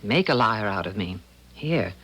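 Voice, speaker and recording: A suspicious older small-town wife in a 1970s radio drama. She speaks with a tart, nagging folksiness, and her pitch moves up and down freely. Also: lively intonation, a little slow, a bit bright, husky, exaggerated voice. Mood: suspicious, irritated.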